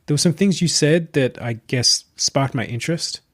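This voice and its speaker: A man's voice: fairly high pitch, moderate pace, dry recording, clean studio sound, voice pushed up, close to an everyday voice. A man voicing an earnest young male student in a narrated story, tentative and casual, rambling a little. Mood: eager, tentative.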